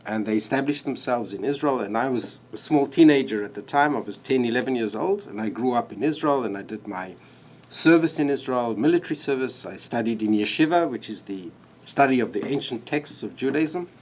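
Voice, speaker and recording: A man from South Africa, a diplomat, giving measured, courteous answers as a guest. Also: medium pitch, lively intonation. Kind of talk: interview answers.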